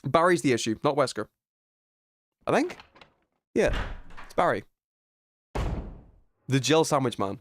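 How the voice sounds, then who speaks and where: A man's voice: mid-range voice, expressive, unhurried, pauses often, a bit bright, a little hiss or hum. A man live streaming a video game with casual, slangy commentary full of swearing.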